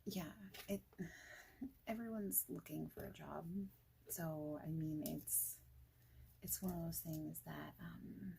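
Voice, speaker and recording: A woman playing a friendly, informal boss in an ASMR interview roleplay, speaking softly and haltingly with whispered phrases. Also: low voice, steady tone, a little hiss or hum, exaggerated voice, low-quality recording. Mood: candid, easygoing. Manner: halting, casual, soft-spoken, with breathy whispered phrases.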